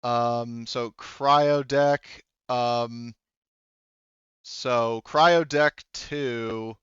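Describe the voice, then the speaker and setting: A man with a clear, smooth voice runs a tabletop roleplaying game. He talks casually and haltingly as he thinks aloud, with blunt swearing. The recording is dry and a little dull. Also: mid-range voice, steady tone, fairly bright tone, no room sound. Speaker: game master.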